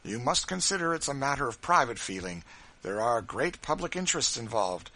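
A man's voice: medium pitch, very animated, a little fast, pushed and bright, voice pushed up. A man reading aloud, voicing a mild senator husband who soothes and reasons with his wife. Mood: placating. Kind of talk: audiobook character reading.

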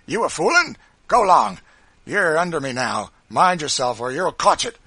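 A man's voice: medium pitch, expressive, normal speed, gravelly, bright, forceful tone, breathy edge, no room sound, pitched above the performer's own voice, full character voice. A man voicing a brutal, spiteful plantation driver in an audiobook, guttural, with heavy dialect speech and barked threats. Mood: gloating.

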